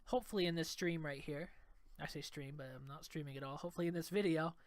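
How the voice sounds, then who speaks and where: A man's voice: a little high, quick pace, no room sound. A young man with a stuffed-up cold voice giving laid-back, rambling commentary over his gameplay. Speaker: gameplay video creator. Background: game music.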